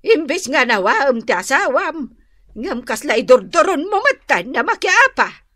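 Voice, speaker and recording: A woman's voice: high-pitched, big pitch swings, measured pace, a bit bright, no echo, clean studio sound, heavily acted. An actress playing an older village mother in an Ilocano radio drama, scolding and advising in an emphatic, exclaiming way.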